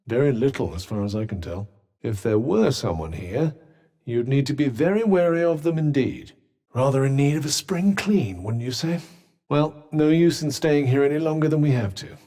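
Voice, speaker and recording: Haughty British talking cuff, lively intonation. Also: male voice, medium pitch. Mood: dry, wry. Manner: dry, sardonic, articulate, arch understatement. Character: haughty, sardonic sentient magical cuff, male companion. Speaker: voice actor. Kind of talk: video game companion dialogue.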